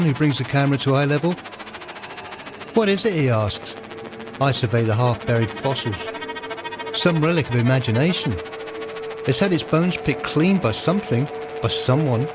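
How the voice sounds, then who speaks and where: A man reading dramatic, literary first-person narration for a radio play in a deliberate storytelling manner. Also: mid-range voice, low-quality recording. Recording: music behind the voice. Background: music.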